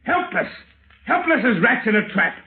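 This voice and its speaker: Menacing avenger, tinny old radio. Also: male voice, very high-pitched, very animated, slight background noise. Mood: vengeful, raving. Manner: deliberate, theatrical, well-spoken. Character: vengeful husband, educated lawyer, coolly menacing and obsessed. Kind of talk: old-time radio thriller acting.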